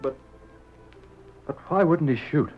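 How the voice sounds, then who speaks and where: An actor playing an earnest, troubled young western rancher, speaking haltingly in a radio-drama style. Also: male voice, a little high, faint music behind. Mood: troubled, questioning. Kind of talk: old-time radio drama acting. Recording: dull vintage radio recording.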